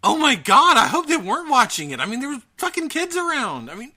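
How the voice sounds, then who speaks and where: A man chatting casually as a podcast host, ranting in an animated, profane way. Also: extremely high pitch, fast talker. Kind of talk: casual chat about gaming news.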